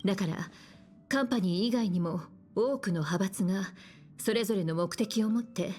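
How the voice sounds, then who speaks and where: An actress playing a composed, caring woman navigator in an anime-style game, guiding gently. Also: medium pitch, fast, natural voice. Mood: grave, knowing. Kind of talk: Japanese anime game voice acting.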